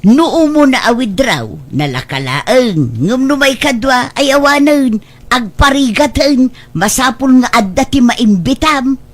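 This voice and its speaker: Woman acting a blunt village skeptic, Ilocano accent, high voice, scolding, exclamatory, audio drama. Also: expressive, measured pace. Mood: skeptical.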